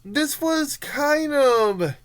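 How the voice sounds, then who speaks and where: A young adult giving a casual review monologue in a rambling, informal way, halting and letting thoughts trail off. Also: high-pitched, expressive. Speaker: amateur reviewer.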